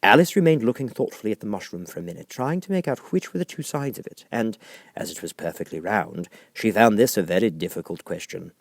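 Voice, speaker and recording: A man reading as an audiobook narrator, in an expressive scripted storybook style. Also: lowish voice, fairly quick, gravelly, dry recording, studio quality.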